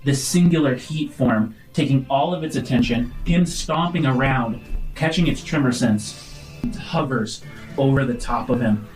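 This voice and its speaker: A man working as game master, improvising dramatic tabletop narration in halting phrases. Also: medium pitch, a little mellow, soft background music, poor audio.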